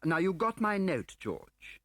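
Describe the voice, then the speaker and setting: A man's voice: high-pitched, sing-song, slow, bright, forceful tone, dry recording, pitched above the performer's own voice, exaggerated voice. A man voicing a pompous, ingratiating civil service chief in an audiobook, with a hesitant, upper-class English delivery. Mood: falsely genial. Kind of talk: audiobook character acting.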